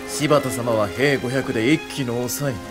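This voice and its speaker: An actor playing a proud, intelligent young samurai in a Japanese game drama, speaking in a formal, measured way. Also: male voice, medium pitch, loud music behind the voice, natural voice. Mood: assertive.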